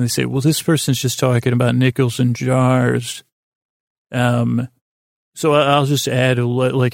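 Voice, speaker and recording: Male bedtime podcast host, creaky voice, close dry sound, soothing and rambling, often breaking off mid-thought. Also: medium pitch, dry recording. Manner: meandering, hesitant, self-interrupting; lulling, soothing delivery.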